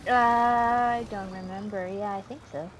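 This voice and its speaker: A woman's voice: medium pitch, steady tone, measured pace, a little hiss or hum, low-quality recording. A woman co-hosting a gaming show, chatting casually in a direct, practical way. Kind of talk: casual gameplay chat.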